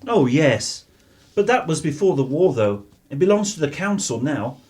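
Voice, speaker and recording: Actor as dad, highish voice, lively pitch, plain-spoken, teasing, radio drama. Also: male voice, moderate pace. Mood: amused, teasing. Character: down-to-earth working-class English father, gruffly teasing. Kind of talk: radio play acting.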